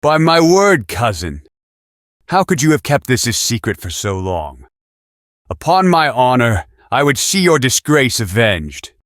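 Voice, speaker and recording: A man's voice: mid-range voice, very animated, a little slow, fairly bright tone, dry recording, studio quality. A man playing a proud, resolute young knight in an audio drama, speaking formally and earnestly.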